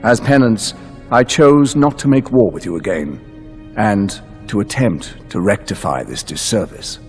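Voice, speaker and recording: An actor playing an ancient, courtly mastermind villain, delivering a dramatic monologue in a formal, measured, grave manner. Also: male voice, fairly low pitch, animated, a little mellow. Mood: grave, regretful. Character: ancient, courtly male mastermind villain, six centuries old. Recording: prominent music under the voice.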